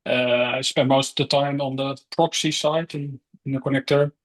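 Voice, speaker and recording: A man from the Netherlands, a native Dutch speaker, introduces himself in a matter-of-fact manner. His voice sits at an average pitch for a man. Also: fairly even intonation, moderate pace. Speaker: software engineer. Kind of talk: casual chat on video call.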